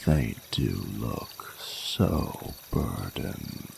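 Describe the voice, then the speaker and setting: A man's voice: rumbling low, animated, vocal fry, background noise, heavily acted. A man voicing a flirty, courtly male dragon in a romantic audio roleplay, speaking formally and soothingly in intimate ASMR style. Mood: tender, soothing. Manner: formal, flowery, unhurried, soothing speech. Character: ancient-sounding flirty male dragon, tender, courtly lover.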